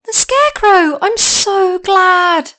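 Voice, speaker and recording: Woman voicing a young girl, earnest, childlike, storybook, high voice, lively pitch. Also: measured pace, heavily acted. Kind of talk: storybook character voice.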